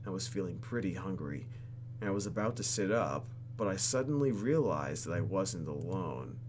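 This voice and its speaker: Male gaming host, lowish voice, highly animated narration and exaggerated reactions, slight background noise. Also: moderate pace. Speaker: YouTube gamer. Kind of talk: let's play reading visual novel text aloud with reactions.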